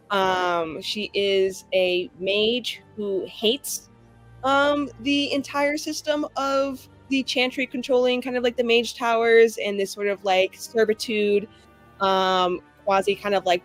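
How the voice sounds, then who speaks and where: A woman chatting informally as a player on a tabletop game stream, self-deprecating and a little halting. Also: mid-range voice, steady tone, faint music behind. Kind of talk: casual chat, introducing a game character.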